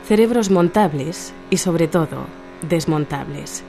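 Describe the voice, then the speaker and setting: A detached female narrator speaks in a lowish voice with faint music behind her. Her poetic radio monologue comes in measured, fragmented, reflective phrases. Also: animated, creaky, dark tone, exaggerated voice. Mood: cool, reflective.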